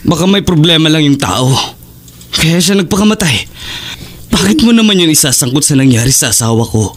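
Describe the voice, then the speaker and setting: A young Filipino widower in a horror radio play, his voice a little high for a man. He is grieving and skeptical, talking to his mother with weary exasperation and a vengeful streak. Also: expressive, fairly quick. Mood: doubtful. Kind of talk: Tagalog horror audio drama acting.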